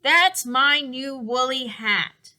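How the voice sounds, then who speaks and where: A woman's voice: high voice, slow pace, sharp tone, voice pushed up, heavily acted. A woman voicing an eager, easily worried little boy bear in a children's storybook, with emphatic exclamations. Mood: upset protest. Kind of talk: animated children's storybook reading.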